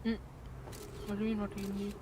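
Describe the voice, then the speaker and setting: A woman's voice: medium pitch, completely flat delivery, fast, dark tone. A woman chatting casually on an online voice call during a game stream. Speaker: co-streamer in voice chat. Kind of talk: casual chat. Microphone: Discord voice call with noise cancellation. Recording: noisy online voice chat.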